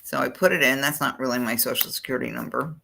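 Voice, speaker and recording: A college admissions counselor leads a screen-share tutorial with a gravelly, creaky edge and a bright, forward tone. The delivery is chatty, with thinking aloud while clicking through form fields. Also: mid-range voice, fast, slight background noise.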